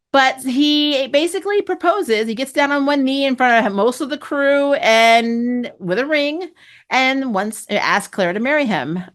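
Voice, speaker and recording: A woman hosting a casual spoiler review of a TV episode, chatty and amused, laughing often and filling pauses with "um" and "you know". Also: fairly high pitch. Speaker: TV review host.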